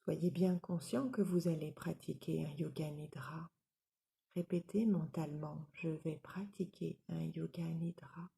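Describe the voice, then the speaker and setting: Very slow, dark-voiced woman guiding relaxation. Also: low voice. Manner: calm, soothing, measured instructions with soft breathy moments. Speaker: yoga nidra relaxation instructor. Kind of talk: guided relaxation meditation.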